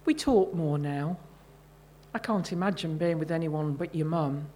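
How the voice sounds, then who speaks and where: A woman voicing an elderly father, a gentle, wistful and loyal husband, in a short story reading. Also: low-pitched, measured pace, slight background noise, voice pushed down. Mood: tender.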